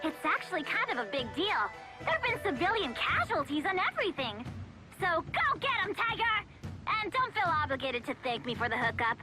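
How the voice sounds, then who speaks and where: A woman's voice: very high voice, big pitch swings, a little fast, loud music behind the voice. An actress playing a perky, bossy young woman who hands out jobs in a video game, bubbly and pushy. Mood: chirpy, bossy. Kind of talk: animated video game voice acting.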